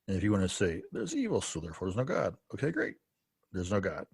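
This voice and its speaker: A man hosting a relaxed podcast chat, with a very low voice and a husky grain to it. He talks loosely and chuckles between thoughts. The sound is dry and close to the mic. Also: fast, dry recording. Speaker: podcast host. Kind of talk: casual conversational interview.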